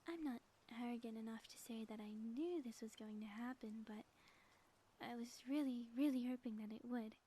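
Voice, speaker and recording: A high, mellow female voice acted out by a woman plays a sappy yet theatrical ex-villain wife in an ASMR roleplay. She is breathy and teasing, drifting toward whispers, with faint noise behind her. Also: moderate pace, lightly acted. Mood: tender, flirtatious. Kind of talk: intimate ASMR audio roleplay.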